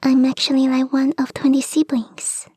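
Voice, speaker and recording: Woman doing a teasing kitsune girlfriend for asmr rp, super breathy n whispery, high voice, up close. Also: monotone, darkish tone, no room sound. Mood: fond, explaining. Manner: slow, teasing, intimate. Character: playful, devoted kitsune fox girl, bodyguard and girlfriend. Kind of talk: ASMR roleplay, soft whispery delivery. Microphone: close microphone, dry.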